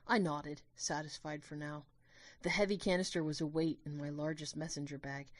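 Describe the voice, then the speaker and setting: A woman's voice: sits low, fairly even intonation, normal speed, no room sound. A woman reading scripted first-person story narration as the narrator of an audio drama.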